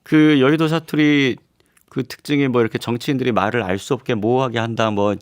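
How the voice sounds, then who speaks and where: A man, a professor, answering questions on a radio current-affairs show in a steady, explanatory way, with some hesitant fillers. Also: mid-range voice, brisk, clear, resonant tone, no echo, clean studio sound. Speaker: political science professor guest. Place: radio studio. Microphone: studio microphone.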